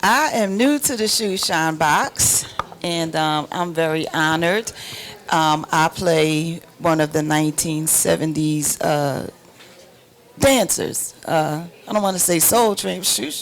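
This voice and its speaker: A woman's voice: low-pitched, slow, a little hiss or hum. A woman performer talking casually in an interview, good-humoured, with hesitant phrasing.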